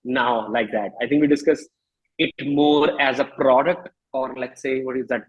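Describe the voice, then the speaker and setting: A man with an Indian accent talking candidly as an interview guest, emphatic but halting, often repeating phrases, in a husky voice. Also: medium pitch, fairly even intonation, muffled recording. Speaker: musician guest.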